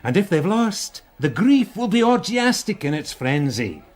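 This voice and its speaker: A man's voice: high-pitched, big pitch swings, measured pace, exaggerated voice. A man playing a grumpy, busy middle-aged detective sergeant in a radio drama, with a dry, sarcastic, exasperated manner. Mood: weary, instructing.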